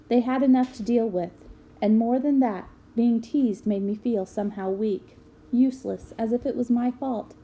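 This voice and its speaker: Woman with a very mellow, higher voice reading aloud. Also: a little hiss or hum, poor audio. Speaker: reader of a children's book aloud. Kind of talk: expressive read-aloud narration.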